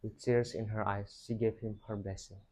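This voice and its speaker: A man's voice: on the low side, little pitch movement, moderate pace, mellow, low-quality recording. A young man tells a rehearsed folktale dramatically, in emphatic, declaimed phrases. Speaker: student storyteller.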